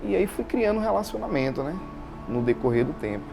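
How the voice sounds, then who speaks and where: A man's voice: fairly high pitch, big pitch swings, fairly quick, gravelly. A man with a Bahian Brazilian accent telling his own story informally as an interview guest, repeating phrases and often adding tag questions. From Salvador, Bahia, Brazil. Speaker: interview guest, installation business owner. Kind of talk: informal personal account. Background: background music.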